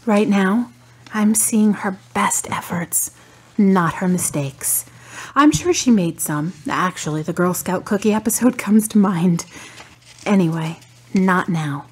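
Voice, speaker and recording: A woman playing a grieving, polite adult daughter in a radio drama, subdued and husky. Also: medium pitch, slow. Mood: sad, reflective. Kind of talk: amateur radio drama acting.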